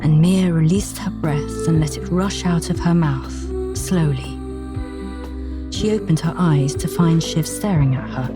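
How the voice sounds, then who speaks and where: A woman's voice: sits low, a little slow, music in the background. A woman narrating a scripted audiobook story.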